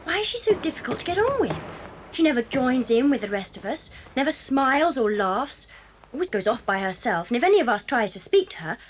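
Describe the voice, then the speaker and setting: A sensible English schoolgirl in a children's audio drama. Her high voice moves freely up and down, candid and well-meaning, with faint noise in the background. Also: very animated, a little fast. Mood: puzzled, considerate.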